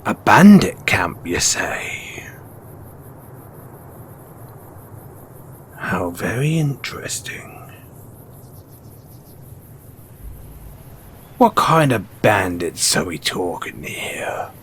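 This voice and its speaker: A man playing a shrewd, mysterious post-apocalyptic merchant in an audio roleplay, speaking in an insinuating, theatrical way with drawn-out pauses. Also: low-pitched, sing-song, breathy edge, in a whisper, full character voice. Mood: intrigued, probing. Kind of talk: audio roleplay character acting addressed to the listener.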